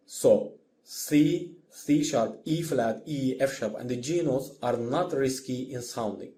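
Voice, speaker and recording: A man who is a non-native English speaker narrates a scripted music lesson as the instructor, in a deliberate, explanatory manner. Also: medium pitch, dark tone, slight background noise. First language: not English.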